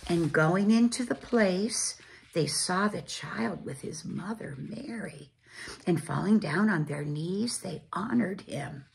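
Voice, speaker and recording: A woman reading a storybook aloud to children as a church storyteller, with deliberate, dramatic phrasing and pauses. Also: fairly low pitch, animated, vocal fry, a little hiss or hum.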